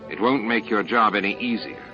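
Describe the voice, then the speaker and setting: Gruff, matter-of-fact space station security man played by a male reader, dull lo-fi recording. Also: fairly low pitch, steady tone, brisk, over music, lightly acted. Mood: dry, grudging. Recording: muffled, band-limited tape audio.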